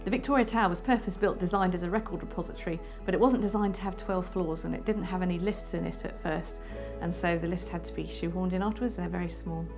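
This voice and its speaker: Low-voiced woman explaining plainly. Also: fast talker, few pauses. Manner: explanatory, matter-of-fact. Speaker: archivist. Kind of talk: documentary interview. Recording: clear music underneath.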